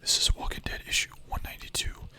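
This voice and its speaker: A young adult streamer whispering ASMR-style, chatting casually with chat, full of filler words and the odd swear. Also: fast talker.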